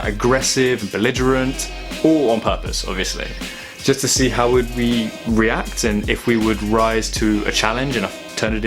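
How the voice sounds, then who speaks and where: A young man talking casually and reflectively in a vlog, thoughtful and halting with long pauses. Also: medium pitch. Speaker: vlogger.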